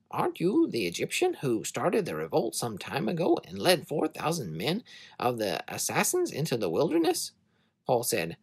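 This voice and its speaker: A narrator reading scripture to children as a dramatic story, in an expressive, measured way. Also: medium pitch, gravelly, husky, no room sound.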